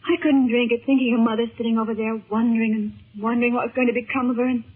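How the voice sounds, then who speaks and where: A woman's voice: on the high side, flat delivery, quick pace, husky. A woman playing an anxious, tender-hearted teenage daughter in a 1930s radio serial, girlish, earnest and fretful. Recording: muffled, tinny 1930s radio recording.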